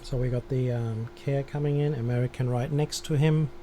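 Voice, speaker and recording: Mellow man on a flight-control stream. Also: mid-range voice, fairly even intonation, moderate pace. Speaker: streamer playing air traffic controller. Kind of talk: live game stream with controller instructions and commentary. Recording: background noise.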